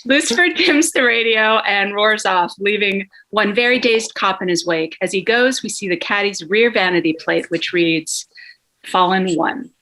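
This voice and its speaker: Woman narrating a script, dramatic, bright crisp-edged voice. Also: mid-range voice, moderate pace, poor audio. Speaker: narrator reading stage directions.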